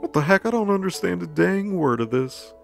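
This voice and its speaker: A man's voice: high-pitched, animated, a little fast, vocal fry, soft background music, pitched above the performer's own voice. Guy putting on a baffled king, exasperated and grumbly, slangy, comedy recap. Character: baffled grumbling male ruler.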